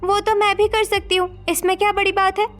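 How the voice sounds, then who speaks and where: A woman's voice: extremely high pitch, flat delivery, brisk, fairly bright tone. A woman voicing a naive, stubborn, sulky teenage bride in a cartoon story, with a whiny, pleading manner. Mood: defiant. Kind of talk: Hindi cartoon story voice acting.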